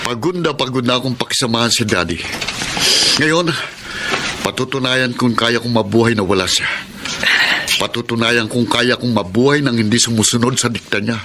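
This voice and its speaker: A man's voice: medium pitch, normal speed, noisy background, natural voice. A man playing a resentful, overburdened grown son in a Filipino radio drama, earnest, emotional and aggrieved. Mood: weary pleading.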